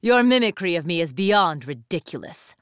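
A woman's voice: fairly low pitch, very animated, moderate pace, smooth, no echo, studio quality. An actress playing an imperious, ruthless young woman warrior, speaking in formal, haughty declamations for an anime fighting game. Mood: cold, haughty.

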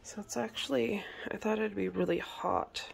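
A young woman in her kitchen chatting casually to her phone camera, clearing her throat now and then. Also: medium pitch, steady tone, moderate pace. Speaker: vlogger. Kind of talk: casual home vlog narration. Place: home kitchen, indoors.

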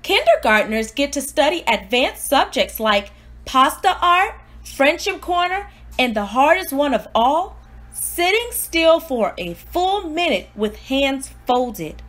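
A woman voicing a precocious, self-important newborn baby diarist, earnest and exclamatory, in an expressive storybook read-aloud. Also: high-pitched, takes it slowly, full character voice. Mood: wondering admiration.

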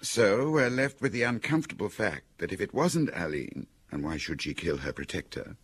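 Deep, husky, wry confidant. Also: male voice, very animated, moderate pace, a bit bright, no echo, exaggerated voice. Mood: probing. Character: dry, probing male confidant of a detective. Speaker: actor in a radio drama. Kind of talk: radio drama acting, intimate dialogue.

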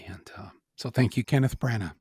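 A man's voice: medium pitch, a little fast, warm tone, slightly rough, no echo, clean studio sound. A man in his sixties hosting a podcast, talking in a rambling, self-deprecating way with chuckles and lots of "uh". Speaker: podcast host. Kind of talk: casual monologue.

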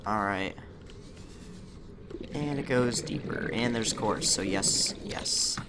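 A young man commentating his own gameplay in a casual, chatty way, muttering to himself, his voice slightly rough from a recent illness. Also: lowish voice, slow pace, very noisy. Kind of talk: casual let's-play commentary.

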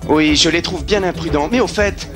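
A man's voice: a little high, moderate pace, over music, natural voice. A man playing a blunt young jungle man raised among animals, in a French cartoon dub. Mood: curious, plain.

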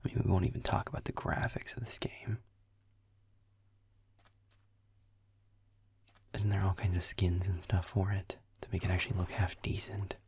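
A man playing a grumpy, sarcastic werewolf boyfriend in a gaming roleplay audio, muttering irritably with swearing in a low, breathy, gravelly voice. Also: flat delivery, fast talker, a little mellow, no echo, in a whisper, heavily acted. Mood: grumbling.

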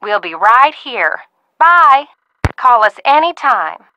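A woman playing a fussy, genteel ranch aunt in a video game, sweetly reproachful with drawn-out endearments. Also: a little high, very animated, muffled recording, background noise. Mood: cheerful. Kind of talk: game dialogue acting.

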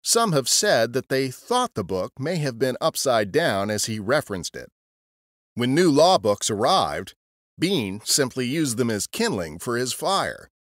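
A man's voice: mid-range voice, very animated, measured pace, no echo, clean studio sound. A man narrating a scripted history podcast as a storyteller.

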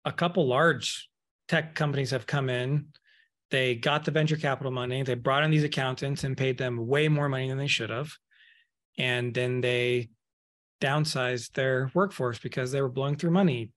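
Man, 30s, blunt and animated podcast guest, video-call audio from an office. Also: medium pitch, moderate pace. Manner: candid, emphatic, speeds up when animated. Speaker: guest, accounting firm owner. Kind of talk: casual podcast interview. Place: office room. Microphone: video call webcam.

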